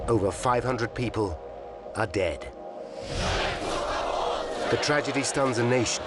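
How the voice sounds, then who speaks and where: A British man reading scripted disaster documentary narration in a grave, suspenseful, dramatic manner. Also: medium pitch. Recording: loud dramatic music underneath. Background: dramatic music and disaster sound effects.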